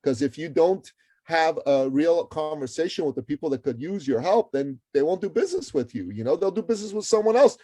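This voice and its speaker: Emphatic, dark-voiced man from Iran. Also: fairly high pitch, brisk, no room sound. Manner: energetic, emphatic, repeats words as he speaks. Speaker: guest, author and entrepreneur. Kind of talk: interview conversation.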